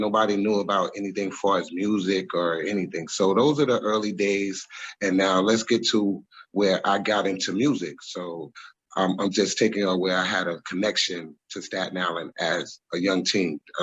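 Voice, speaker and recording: A man's voice: low-pitched, fairly bright tone, husky, lo-fi sound. A man, a guest on a video-call interview, telling his story in a rambling, reflective way with many ums and restarts. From New York, USA. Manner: rambling, reflective, frequent ums and self-corrections. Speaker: interview guest, former music manager. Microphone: video call webcam.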